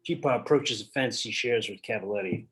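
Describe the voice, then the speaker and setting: A man's voice: fairly low pitch, moderate pace, fairly bright tone, slightly rough. A man reading stage directions at a table read in a matter-of-fact way.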